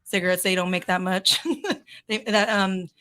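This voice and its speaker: Chatty woman guest, monotone delivery, dry close sound, lots of fillers and laughs. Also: lowish voice, normal speed, clear, resonant tone, no echo. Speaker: guest, cannabis cosmetics business. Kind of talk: casual interview chat.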